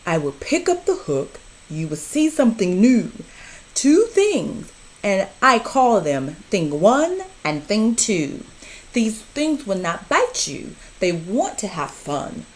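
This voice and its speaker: A woman's voice: mid-range voice, very animated, slow, rough recording. A woman voicing a playful, cunning male cat in a storybook, showy and coaxing.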